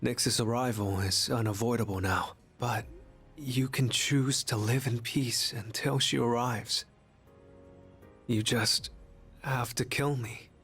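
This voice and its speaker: An actor playing a gentle young harbinger of death in human form for a video game, speaking softly with grave, resigned, pleading delivery. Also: male voice, mid-range voice, fairly even intonation, takes it slowly, soft background music. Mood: solemn, persuading. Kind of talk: English video game voice acting.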